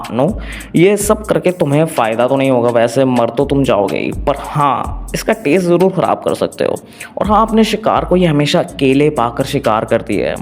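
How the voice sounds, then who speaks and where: A man with an Indian accent narrates a story recap informally in the first person, slipping between Hindi and English phrases. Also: medium pitch. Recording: voice-over with music underneath.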